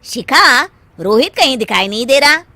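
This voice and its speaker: A woman plays an easygoing young man visiting as a guest in a storybook tale. The delivery is bright and animated, polite with a gently teasing, sceptical edge. The recording is close and dry. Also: high-pitched, normal speed, dry recording, pitched above the performer's own voice, full character voice. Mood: friendly. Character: friendly, easygoing young male guest, a cousin. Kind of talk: Hindi moral-story voice acting.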